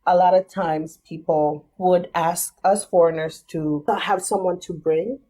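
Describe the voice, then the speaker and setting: A woman's voice: fairly low pitch, steady tone, measured pace, mellow. A young adult woman and business founder, a podcast guest, explaining things step by step in a thoughtful, casual way. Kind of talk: casual interview.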